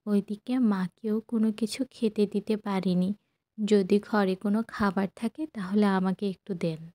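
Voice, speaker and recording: A woman's voice: medium pitch, steady tone, moderate pace, dark tone, close to an everyday voice. A woman playing a worried, dutiful village wife in a cartoon, plaintive and pleading. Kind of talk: cartoon voice acting.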